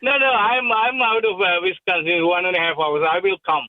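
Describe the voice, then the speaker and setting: A man's voice: sits high, steady tone, a little fast. An older man from Sri Lanka, calling in to a radio show, eager and effusive, stumbling over repeated words. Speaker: caller. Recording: tinny phone line.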